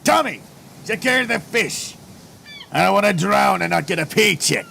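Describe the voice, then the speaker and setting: An actor playing a gruff, theatrical boss of a rough boat crew in an audio drama. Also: male voice, sits high, lively intonation, normal speed, pushed and bright, a little hiss or hum, full character voice. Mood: loud bravado.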